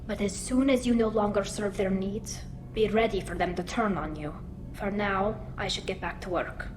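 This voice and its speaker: A woman plays an alien ship's engineer in a sci-fi game, loyal but guarded. Her mid-range voice is soft and measured, earnest in tone, with a clear engine rumble behind it. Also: fairly even intonation, close to an everyday voice. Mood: wary. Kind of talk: video game character acting.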